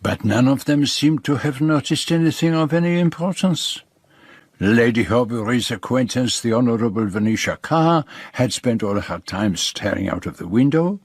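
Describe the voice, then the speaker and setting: A dapper, courteous detective with a French-speaking Belgian accent. His slightly husky voice is formal and precise, quietly self-assured, suited to radio drama that he also narrates. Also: mid-range voice, moderate pace. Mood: measured narrating.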